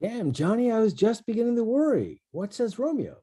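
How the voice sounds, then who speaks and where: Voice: mid-range voice, very animated, fairly quick, mellow, husky. An actor in a table read over a video call, playing a worried, streetwise male mentor with poetic turns of speech. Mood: worried, resolute. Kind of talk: table read of a stage play.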